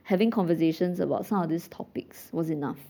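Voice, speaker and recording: Singaporean female co-host, warm mellow voice, thoughtful and chatty. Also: on the low side, fast. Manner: reflective, uses lots of fillers like "you know" and "right". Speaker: podcast co-host. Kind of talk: casual chat.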